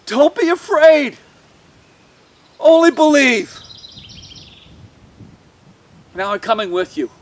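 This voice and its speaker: A man voicing a gentle but commanding holy healer in a live Bible story, measured and emphatic. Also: sits high, very animated, slightly rough, far from the mic, voice pushed down, exaggerated voice. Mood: reassuring.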